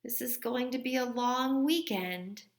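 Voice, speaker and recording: A woman's voice: on the high side, unhurried, gravelly, pitched above the performer's own voice. A woman voicing a resourceful, encouraging mother in a children's storybook. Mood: exasperated.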